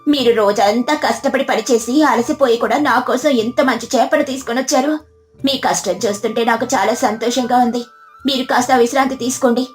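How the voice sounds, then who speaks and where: Woman doing devoted poor village wife in a story, tender, highish voice, music behind. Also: lightly acted. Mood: delighted, caring. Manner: tender, humble.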